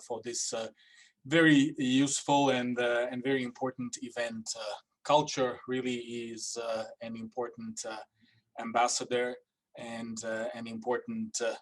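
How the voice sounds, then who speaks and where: A man serving as an ambassador, giving formal remarks over a video call and halting with "uh" before almost every phrase. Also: medium pitch.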